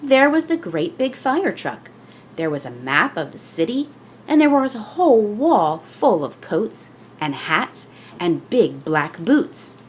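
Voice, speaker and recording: A woman reading a children's picture book aloud as scripted narration, with expressive storytelling delivery. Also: mid-range voice, takes it slowly, a little hiss or hum.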